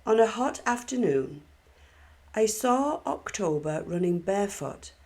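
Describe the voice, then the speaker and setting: A woman reads a children's storybook aloud in a fairly low voice with a creaky edge. She takes her time, phrasing each line deliberately and expressively. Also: a little mellow. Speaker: storybook reader.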